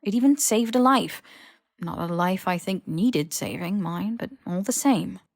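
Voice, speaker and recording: A woman acts out a young British man from a fantasy audiobook, blunt and excitable. He grumbles and pushes eagerly, in a close, dry recording. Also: medium pitch, measured pace, gravelly, no room sound. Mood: smug, blunt. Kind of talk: audiobook character dialogue.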